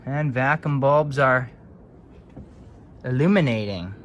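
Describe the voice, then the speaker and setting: A man's voice: mid-range voice, slow, slight background noise. A man giving casual, hands-on commentary as a hobbyist electronics repairer, offhand and muttering as if to himself.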